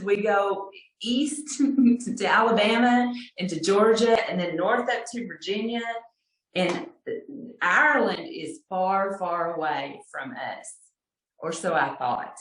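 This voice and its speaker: A woman with a deep Texas accent telling a personal story in a chatty, self-deprecating way, laughing often, over a video call. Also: roomy sound, rough recording.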